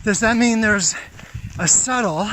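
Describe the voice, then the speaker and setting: A man thinking aloud in a rambling, halting monologue, pausing often as he ponders. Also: sits high. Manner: halting, pondering, throat-clearing. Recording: noisy outdoor recording with clicks.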